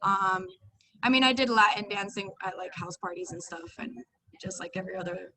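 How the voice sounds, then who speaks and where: Brief: chatty young Venezuelan-born woman, mid-pitched, laughs easily. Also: brisk, sharp tone, low-quality recording. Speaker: guest dancer. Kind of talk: casual podcast interview.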